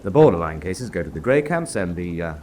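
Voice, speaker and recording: An actor playing a senior officer in a radio drama, dry and authoritative, with a clipped, formal manner of briefing. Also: male voice, deep voice, big pitch swings, brisk, darkish tone, a little hiss or hum. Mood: dry, probing. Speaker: senior prisoner-of-war officer.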